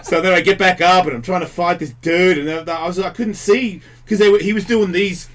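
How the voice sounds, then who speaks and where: A man's voice: high-pitched, a little fast, fairly bright tone. A man in his forties hosting a casual radio talk show, telling rambling stories and laughing often.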